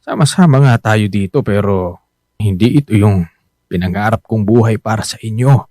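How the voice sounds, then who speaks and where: An actor playing a weary, self-blaming middle-aged husband, a poor driver, apologetic and earnest, with a Manila Filipino accent in a radio drama. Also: male voice, fairly low pitch, normal speed, darkish tone, no room sound, clean studio sound, close to an everyday voice. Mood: ashamed, downcast.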